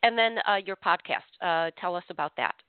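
A woman's voice: on the low side, brisk, clean tone, no echo. A woman hosting an interview, asking her guest short questions. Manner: brief, prompting questions. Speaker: podcast host. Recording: tinny phone line, dry.

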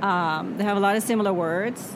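A woman whose first language is Swedish, an author answering interview questions in a reflective way that often trails off softly. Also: mid-range voice, a little fast. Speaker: author guest. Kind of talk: interview conversation. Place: noisy spot near traffic. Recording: background traffic noise.

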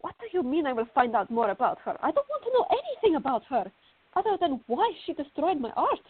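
A woman's voice: sits high, very animated, fast talker. An actress playing a fiery, indignant young woman in a radio drama, arguing and questioning. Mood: exasperated. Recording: tinny, band-limited, echoey room.

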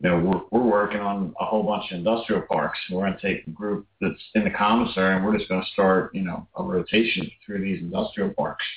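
A man's voice: sits low, fairly even intonation, brisk, hardly any pauses. A man talking casually as a guest on a radio show, rambling with many fillers. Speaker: guest vendor. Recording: distant, roomy, phone-like line.

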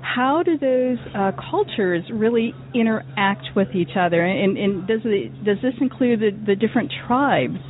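A woman's voice: mid-range voice, expressive, moderate pace, few pauses. A woman hosting a radio interview, asking long, searching questions with some hesitations. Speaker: radio host. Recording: phone-like narrow band.

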